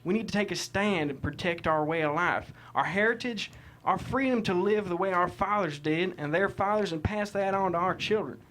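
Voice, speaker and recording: An actor playing a proud, defiant Texas cattle rancher with blunt, folksy, cussing talk in a script table read. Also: male voice, high voice, normal speed, fairly bright tone, a little hiss or hum. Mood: defiant, resolute.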